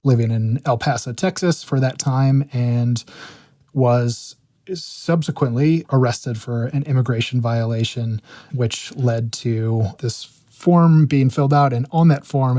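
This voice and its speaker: A man speaking as a reporter, explaining findings in a measured, factual way in an interview. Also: mid-range voice, warm tone, no room sound.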